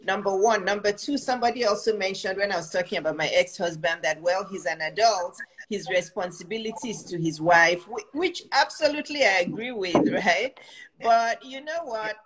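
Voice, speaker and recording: A Nigerian woman hosting a chat as a coach, encouraging and animated, often laughing as she talks. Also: medium pitch, moderate pace, low-quality recording. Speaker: host and coach. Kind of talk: casual video-call chat.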